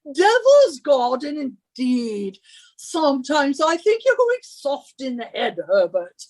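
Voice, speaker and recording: A woman's voice: high-pitched, sing-song, slow, darkish tone, full character voice, poor audio. A woman playing a bossy, scornful wife who nags and scolds in a suspense radio play. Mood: indignant, scornful. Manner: imperious, scolding, henpecking. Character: bossy, nagging British wife of a professor, scornful.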